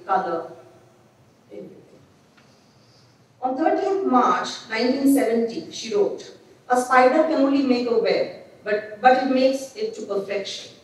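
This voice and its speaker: A middle-aged woman reads a prepared lecture at a gooseneck mic, her fairly high voice measured and reflective, stumbling over a word now and then. The sound is set back from the mic and rings through a large, very echoey gallery hall. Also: distant mic. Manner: measured, reflective reading with small restarts. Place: dim gallery hall, seated at a desk. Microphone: gooseneck desk mic.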